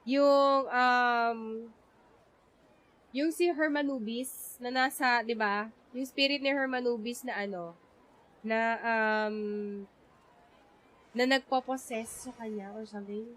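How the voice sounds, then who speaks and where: A woman's voice: a little high, steady tone, takes it slowly, a bit bright, low-quality recording. A young woman with a Filipino accent streaming a game, chatting in a rambling way with many fillers. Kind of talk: live gameplay commentary.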